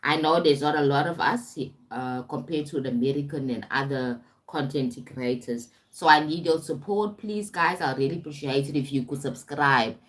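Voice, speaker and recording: A woman's voice: sits low, moderate pace, hardly any pauses, rough recording. A woman with a South African accent giving an informal motivational livestream talk, emphatic, repeating phrases and often checking in with the listener. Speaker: life coach and motivational speaker livestreaming.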